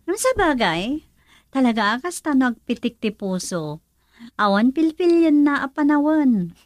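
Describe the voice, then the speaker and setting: An actress with a northern Philippine accent playing a frank, supportive young best friend in a radio drama, animated and questioning. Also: female voice, high voice, moderate pace, no room sound. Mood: frank, curious. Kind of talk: Ilocano radio drama acting.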